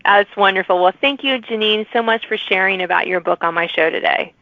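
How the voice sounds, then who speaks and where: A woman's voice: mid-range voice, a little fast. A woman hosting a podcast, interviewing a guest in a friendly, chatty way. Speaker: podcast host interviewing authors. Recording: muffled band-limited phone-like call audio.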